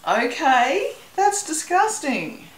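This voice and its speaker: Australian woman, easygoing craft vlogger, unhurried delivery, echoey workshop room. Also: high voice, very animated. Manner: chatty, rambling, laughs often, swears casually. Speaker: soap-making channel host. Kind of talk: casual chat while working.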